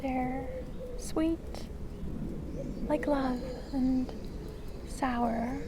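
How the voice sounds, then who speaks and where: A woman voicing a gentle, curious fae in an ASMR fantasy roleplay, soft-spoken and often slipping into whispers, hesitant and kindly. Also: high voice, steady tone, drawn-out words, full character voice. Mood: kindly, helpful. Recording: clear background noise of forest ambience.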